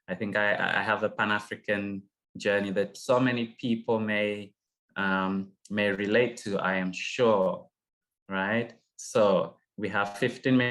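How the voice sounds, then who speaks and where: A middle-aged man from Uganda moderating an online panel, speaking haltingly with many fillers and restarts over a video call. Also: lowish voice, a bit bright. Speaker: session moderator.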